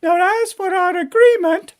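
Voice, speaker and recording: A man's voice: very high voice, unhurried, a little hiss or hum, voice pushed up. A man voicing a spoiled, gushing young princess in a comic fairy tale, with a singsong, whiny manner. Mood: sweet, then mocking.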